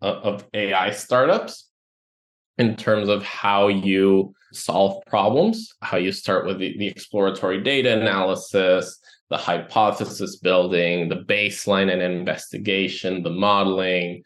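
Low-voiced man from Ecuador, explaining. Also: fairly even intonation, unhurried. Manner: explanatory, lists items, some restarts. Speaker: guest, company co-founder and CTO. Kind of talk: podcast interview answers.

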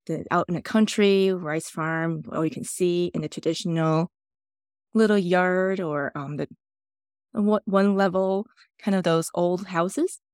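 A woman from Taiwan, a podcast guest telling relaxed stories in loosely phrased English. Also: on the low side, unhurried, clean tone, dry recording, clean studio sound. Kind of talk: casual interview storytelling.

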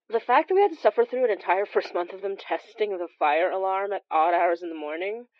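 A woman's voice: lowish voice, dry recording, close to an everyday voice. An actress playing a rambling, self-deprecating Filipino immigrant woman who confides hesitantly and chattily in an audio drama monologue. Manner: hesitant, chatty, trailing off and correcting herself. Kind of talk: audio drama monologue as an intimate phone-call confession. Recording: slightly dull, dry close recording.

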